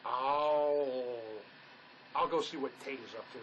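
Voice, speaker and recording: A man's voice: mid-range voice, steady tone, slow, fairly bright tone, lo-fi sound. An actor playing an anxious, protective male ex-con in a drama, earnest, fussing and full of questions. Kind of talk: dramatic script performance. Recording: faint background noise.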